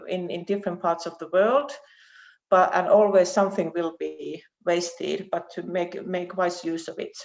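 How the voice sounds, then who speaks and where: Halting Finnish woman, low-pitched, dull audio. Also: a little mellow. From Finland. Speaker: research professor presenting at a webinar. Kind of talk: academic presentation. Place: online video call. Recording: dull, muffled online call audio.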